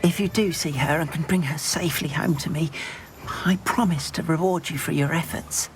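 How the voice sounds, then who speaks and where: An actor playing a timid, sentimental goblin in a video game, with a plaintive, fretful way of talking. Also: male voice, on the high side, normal speed, faint music behind, close to an everyday voice, low-quality recording. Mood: grateful, hopeful. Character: timid, anxious, sentimental goblin doting on his pet. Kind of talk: video game character acting.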